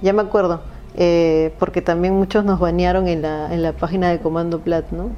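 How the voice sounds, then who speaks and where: Low, mellow-voiced woman musing aloud. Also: fairly even intonation, moderate pace, highs cut off, faint music behind. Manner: reflective, filler "este" and frequent "¿no?". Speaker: guest poet. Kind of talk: casual conversation.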